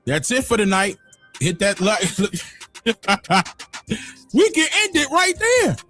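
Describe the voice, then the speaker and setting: A man hosting a livestream, giving emphatic opinionated commentary that swings from loud exclamations to low muttering. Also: wildly swooping pitch, slow, faint music behind. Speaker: livestream host.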